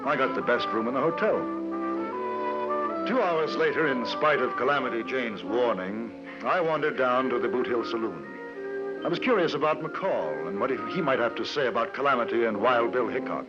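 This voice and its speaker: A man's voice: mid-range voice, animated, normal speed, lightly acted. Actor for male English reporter and narrator: mid-range, polite, observant, understated British delivery, old radio western. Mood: measured, observant. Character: courteous, observant English newspaperman travelling the frontier. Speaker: narrator and lead. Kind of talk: 1950s radio narration and acting. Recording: muffled radio recording with music.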